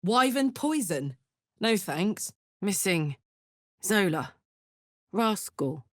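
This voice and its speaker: A woman's voice: medium pitch, very animated, slow, no room sound, studio quality. An actress voicing a brash, big-hearted tiefling warrior woman in a video game, talking bluntly like a battle-hardened soldier with her feelings in the open. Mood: casual, ready. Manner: blunt, informal, emotionally open soldier's talk. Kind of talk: video game character voice acting.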